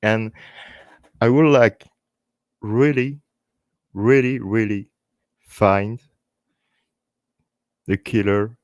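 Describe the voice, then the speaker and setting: A man with a French accent and French as his first language, hosting a live stream in halting English, speaking earnestly and unscripted in short phrases with repeated words. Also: fairly low pitch, no echo. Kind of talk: earnest unscripted talk to online viewers.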